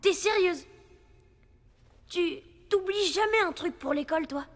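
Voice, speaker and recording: High-voiced cheeky cartoon boy. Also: a little slow. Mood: teasing. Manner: teasing, slangy, excitable. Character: cheeky, adventurous young boy. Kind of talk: dubbed cartoon acting.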